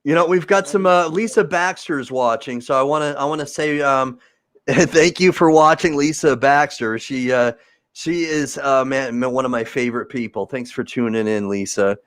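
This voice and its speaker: A man's voice: mid-range voice, moderate pace, dry recording, clean studio sound. A man hosting a live video-call interview show, encouraging and affirming his guest and laughing easily. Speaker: show host. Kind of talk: casual livestream interview.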